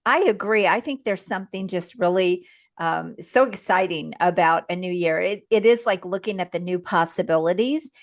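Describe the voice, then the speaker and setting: A woman's voice: fairly low pitch, normal speed, dry recording, clean studio sound. A woman hosting an interview podcast who sounds upbeat and encouraging and affirms her guest often. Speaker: podcast host.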